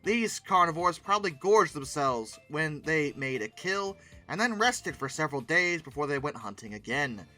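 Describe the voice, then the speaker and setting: A man's voice: on the high side, very animated, moderate pace, hardly any pauses, bright, forceful tone, faint music behind. A man giving casual commentary and reading text aloud, breaking in with sarcastic, exclamatory asides. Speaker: host commentating on old dinosaur art.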